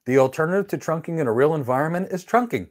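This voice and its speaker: A man's voice: a little high, lively intonation, fast. Blunt middle-aged male tech instructor. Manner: blunt, emphatic, matter-of-fact. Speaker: networking instructor. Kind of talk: live-stream Q&A teaching. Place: indoor studio with virtual backdrop.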